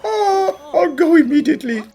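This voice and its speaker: A woman's voice: very high-pitched, animated, moderate pace, warm tone, faint music behind. A woman playing a wealthy, imperious madam in a cartoon fan dub, theatrical, effusive and grand. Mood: gushing. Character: gushing, imperious wealthy madam, doting on her pet.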